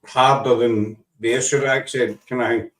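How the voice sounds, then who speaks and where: A man from Scotland with a Scottish accent, chatting casually in a halting way, often repeating words, with a dry sense of humor. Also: mid-range voice, steady tone, a bit bright, distant mic, rough recording. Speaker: paranormal investigator. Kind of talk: casual chat.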